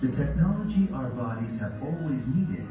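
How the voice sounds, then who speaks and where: A man's voice: medium pitch, sing-song, normal speed, far from the mic, over loud music. A man reading soothing, persuasive scripted narration for a promotional video, heard played back in a room. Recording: video played over speakers in an echoey room.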